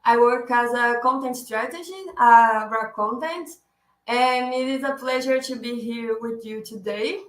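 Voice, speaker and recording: Brief: young Brazilian woman, raised voice, unhurried webinar host in an echoey room. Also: on the high side. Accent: Brazilian Portuguese. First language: Portuguese. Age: 20s. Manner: careful, searching for words, often repeating herself. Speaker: content marketing specialist presenting a webinar. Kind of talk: webinar presentation.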